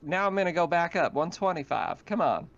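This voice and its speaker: A man's voice: on the high side, sing-song, a little fast, creaky. A man playing a stubborn colony shopkeeper who haggles over prices in an improvised tabletop roleplaying game. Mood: bargaining. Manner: haggling, bargaining sales talk. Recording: muffled online voice call.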